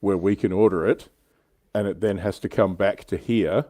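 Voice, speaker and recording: A man's voice: low voice, normal speed, mellow, no echo, studio quality. A man with an Australian accent hosting a casual livestream chat in a dryly joking manner. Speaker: show host.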